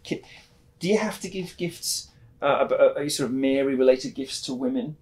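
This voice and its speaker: A man's voice: medium pitch, moderate pace. A chatty man hosts a shopping show. He talks in bursts and restarts his sentences often. His voice sits in an ordinary middle range and is recorded clean on a lapel mic in a studio. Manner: chatty, lively, many restarts. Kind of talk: casual product chat. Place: studio set with bookshelves.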